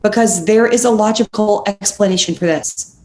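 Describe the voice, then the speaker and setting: A woman's voice: mid-range voice, moderate pace, darkish tone, natural voice. A woman playing a blunt, sarcastic, impatient young best friend in a script table read. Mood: exasperated, rattled. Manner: sardonic, impatient. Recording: echoey room with faint noise.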